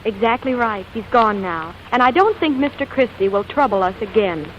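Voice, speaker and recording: A woman's voice: mid-range voice, expressive, normal speed, heavy background noise, close to an everyday voice. An actress playing a poised, haughty, coolly sarcastic society woman, in 1940s radio drama style. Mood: composed. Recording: muffled old radio broadcast, loud music.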